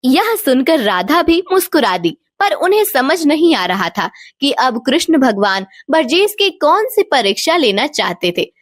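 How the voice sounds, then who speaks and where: A woman tells a children's devotional tale in a fairly high voice with very animated intonation. The recording is close and dry, in a scripted storytelling style. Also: a little slow, hardly any pauses, dry recording.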